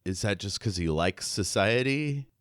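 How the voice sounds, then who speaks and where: A man's voice: on the low side, animated, unhurried, a bit bright, no room sound, clean studio sound. A man co-hosting a podcast, musing casually about a book as he works through his notes and poses questions. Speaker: podcast co-host.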